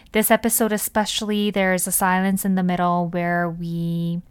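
Clear-voiced woman hosting a podcast. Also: fairly low pitch, no room sound. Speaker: podcast host and interviewer.

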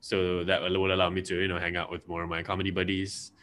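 A man's voice: deep voice, completely flat delivery, fast, a bit bright, a little hiss or hum. A young Malaysian man with a Malaysian accent, co-hosting a podcast in casual, rambling chat full of fillers and restarts. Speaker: podcast co-host.

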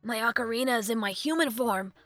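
Woman as a sincere, calm young hero. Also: a little high, a little fast, soft background music, close to an everyday voice.

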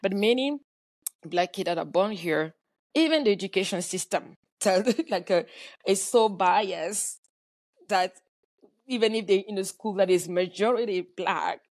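A middle-aged woman from Cameroon, a guest answering in a halting way with many fillers and restarts. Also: mid-range voice, darkish tone, no room sound. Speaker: guest entrepreneur. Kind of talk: conversational interview answer.

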